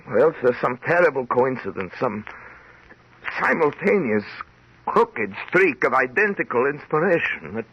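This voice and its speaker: An actor playing an anguished, obsessed composer in old-time radio drama style, intense and brooding, with halting theatrical repetitions. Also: male voice, mid-range voice, very animated, fairly bright tone, slightly rough, exaggerated voice. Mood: agitated, incredulous. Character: anguished, obsessed male composer haunted by rival. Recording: muffled, noisy vintage radio recording.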